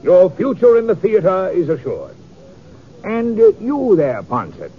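An actor playing a courtly, admiring theatrical impresario, formal and emphatic, in 1950s radio drama style. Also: male voice, high-pitched, huge pitch swings, unhurried, breathy edge, heavily acted. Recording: dull, faintly noisy old radio recording.

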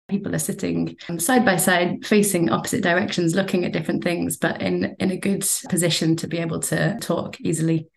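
A woman architect explaining her design in a measured, thoughtful way, with occasional restarts. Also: lowish voice, gravelly. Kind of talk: interview answers, thoughtful.

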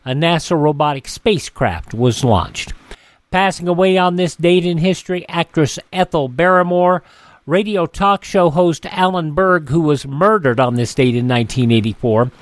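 A man's voice: on the high side, dry recording. A man hosting a radio show, narrating scripted introductions and history notes on air.

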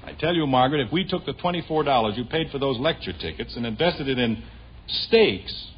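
A man's voice: medium pitch, expressive, a little fast, dull audio, slight background noise, close to an everyday voice. A man playing a wry, teasing suburban father in a 1950s radio sitcom, sarcastic and mildly exasperated, on an old broadcast recording.

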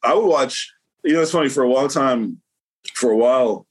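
A man's voice: mid-range voice, normal speed, husky. A man, a painter, talking casually as an interview guest, rambling with lots of fillers and restarts.

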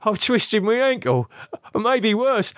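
A man voicing a crude, manipulative man who is injured and pleading, shouting and swearing. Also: sits high, big pitch swings, slow, dry recording, studio quality, pitched above the performer's own voice, exaggerated voice.

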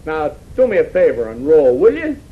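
An American actor playing a brusque, evasive, tough pilot with slangy talk in a 1940s radio drama. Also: male voice, a little high, huge pitch swings, normal speed, husky, exaggerated voice. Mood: guarded, impatient. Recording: muffled, noisy 1940s radio recording.

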